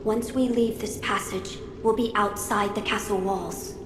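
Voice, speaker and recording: An actor playing a gentle, composed young noble boy lord in a video game, soft-spoken and formal. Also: sits high, fairly even intonation, a little slow. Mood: thoughtful, composed. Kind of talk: dramatic video game acting. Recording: echoey, clearly noisy game audio.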